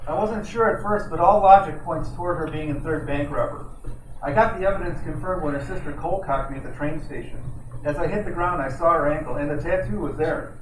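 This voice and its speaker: A man's voice: a little high, fairly quick, lightly acted. Man playing a cocky private eye, echoey room. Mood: recounting. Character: wisecracking 1940s male private detective, cocky and streetwise. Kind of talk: amateur old time radio detective acting. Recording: echoey, distant room with loud noise.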